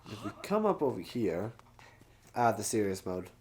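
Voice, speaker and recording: A man's voice: fairly low pitch, sing-song, normal speed, darkish tone, a little hiss or hum. A man hosting a let's play, giving casual, joking commentary as he plays.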